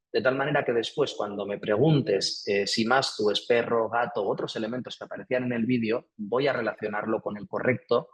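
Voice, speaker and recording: A man's voice: mid-range voice, rough recording. A man with a Peninsular Spanish accent giving an academic lecture, explaining patiently with frequent "eh" fillers and "¿sí?" check-ins. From Spain. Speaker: guest lecturer.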